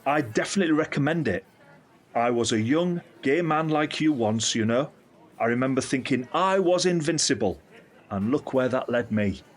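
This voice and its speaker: An actor playing a candid, caring middle-aged uncle in an audio drama, speaking in an earnest, reflective, explanatory way. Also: male voice, medium pitch, lively intonation, moderate pace, natural voice. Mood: reassuring. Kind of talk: scripted audio drama dialogue.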